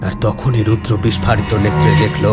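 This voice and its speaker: A man narrating a scripted horror story in a dramatic, suspenseful, deliberate way. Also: lowish voice, flat delivery, over loud music.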